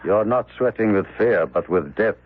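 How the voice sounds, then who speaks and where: An actor playing a grim, solemn avenger who pronounces judgment in a commanding melodramatic radio drama manner. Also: male voice, lowish voice, animated, normal speed, dry recording. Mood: stern, condemning. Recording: tinny radio recording with noise.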